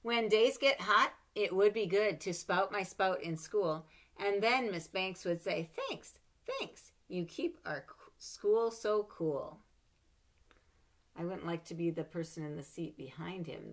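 Middle-aged woman, lowish voice, lively storybook reader with playful asides, home webcam. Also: unhurried. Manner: expressive, animated read-aloud with playful asides. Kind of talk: reading a children's picture book aloud. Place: home room with bookshelves. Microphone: webcam at desk.

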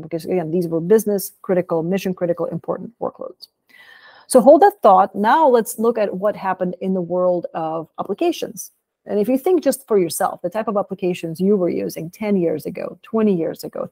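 A woman in her thirties walks through a slide presentation in a measured, explanatory way. Her voice sits a little low, with a gravelly edge and a dark, mellow tone. The recording is dry and close, from a webcam in a small room. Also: no echo. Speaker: product lead giving a talk.

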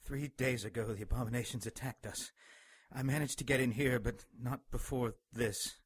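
An actor playing a frightened, wounded monk in a radio drama, with a husky, pleading voice. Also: male voice, mid-range voice, steady tone, moderate pace, natural voice. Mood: frightened, weak.

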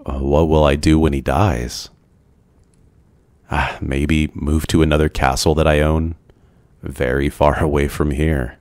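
Very deep, slow-talking brooding male vampire. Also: level tone, darkish tone, no room sound. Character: brooding, lonely, ancient male vampire, gentle and courteous. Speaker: roleplay voice performer. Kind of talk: ASMR boyfriend roleplay, scripted.

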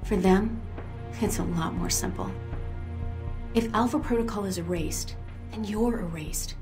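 An actress voicing an earnest, worried young intelligence handler in a video game, serious and hesitant. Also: female voice, medium pitch, unhurried, over music, lightly acted. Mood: anxious, tender. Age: young adult. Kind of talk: video game cutscene dialogue.

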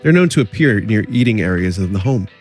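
A man's voice: lowish voice, lively intonation, fast talker, a little mellow, faint music behind. A man doing live game commentary as a streamer, reading in-game text aloud.

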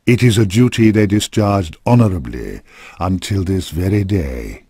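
Low-voiced man, solemn fantasy narrator. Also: animated, unhurried, darkish tone, husky, no echo, studio quality. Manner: solemn, formal storytelling. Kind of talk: scripted fantasy game narration. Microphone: close studio microphone.

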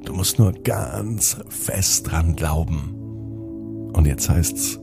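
A man telling a scripted bedtime story to children as podcast host, in an expressive storytelling manner. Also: rumbling low, drawn-out words, creaky. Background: music.

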